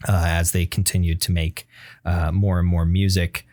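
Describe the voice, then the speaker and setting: Low-voiced man in halting music talk. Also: little pitch movement, darkish tone, no echo. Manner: halting, frequently searching for words. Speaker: podcast host. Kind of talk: casual music discussion.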